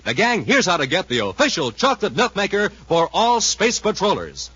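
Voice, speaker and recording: Bright, animated vintage radio announcer man. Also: sits high, normal speed, slightly rough. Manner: punchy, exuberant salesman delivery. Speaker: announcer and narrator. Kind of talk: 1950s radio announcing and advertising pitch. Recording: muffled, roomy 1950s radio recording.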